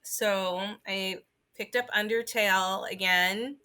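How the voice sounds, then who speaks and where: A woman's voice: mid-range voice, steady tone, slow pace, bright, forceful tone, clean tone. A woman podcast co-host in casual chat about games, informal and sweary, peppering her speech with "like".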